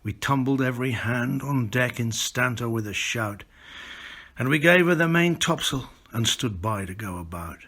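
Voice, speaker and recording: An older British man, an author, speaking and reading poetry aloud in an expressive, recited style. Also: medium pitch, moderate pace.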